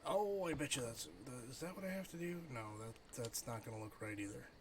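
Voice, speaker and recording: Middle-aged male streamer, average pitch, casual think-aloud gaming chat, slight background noise. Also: animated, a little fast. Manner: thinks aloud, muttering while playing and chatting with viewers. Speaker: livestreamer.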